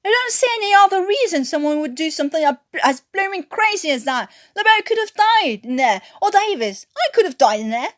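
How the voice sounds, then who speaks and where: Woman acting out a furious British soldier, pitched high. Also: big pitch swings, a little fast, few pauses, a bit bright, heavily acted. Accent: English, put on by a French speaker. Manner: indignant, slangy, explosive outbursts. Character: furious British prisoner of war, hot-tempered man. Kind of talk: amateur audiobook character reading.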